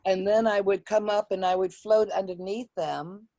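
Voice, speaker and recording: A woman speaking in a reflective, halting way, as in reading a poem or recalling personal experiences. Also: mid-range voice, fairly even intonation, mellow, dry recording.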